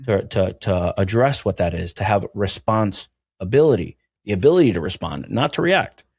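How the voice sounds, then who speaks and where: Man from Venezuela, deep-set creaky voice, reflective interview guest, emphatic repetition, conversational. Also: darkish tone, no echo, clean studio sound. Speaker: interview guest, mental healing educator.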